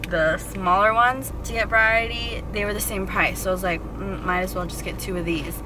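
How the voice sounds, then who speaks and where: Congested twenty-something girl rambling through her day, lots of likes, some noise in the background. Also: mid-range voice. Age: 20s. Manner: chatty, upbeat, rambling with many likes. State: congested from allergies. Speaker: vlogger, grad student. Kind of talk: casual vlog chat.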